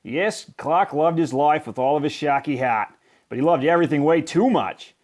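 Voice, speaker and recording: A man reading a children's picture book aloud in expressive narration, with emphatic, deliberate phrasing. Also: a little high. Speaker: storybook reader.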